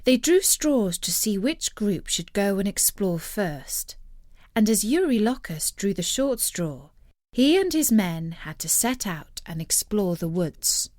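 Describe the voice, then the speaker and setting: A woman narrating a scripted story in an expressive, measured storytelling manner. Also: medium pitch, no room sound, clean studio sound.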